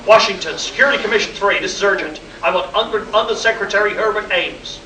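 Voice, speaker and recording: A man's voice: sits high, fast talker, a crowd in the background, natural voice. A man playing an air base project chief under pressure in a live sci-fi radio play. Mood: urgent, insistent. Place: live convention performance, recorded from the room. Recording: echoey distant room recording with faint noise.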